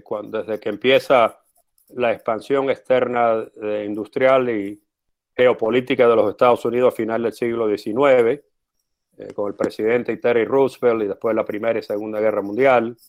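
Interview guest, male, second-language speaker, steady explanatory delivery with hesitations. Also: medium pitch. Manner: measured, frequent "eh" fillers, explanatory. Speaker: interview guest, former US policy adviser. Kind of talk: conversational interview answer.

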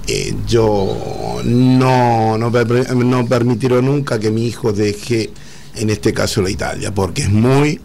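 A middle-aged man with an Argentine Spanish accent, speaking earnestly as an interview guest, often pausing and repeating words. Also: medium pitch, fairly even intonation, vocal fry, a little mellow, slight background noise. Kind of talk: emotional conversational interview.

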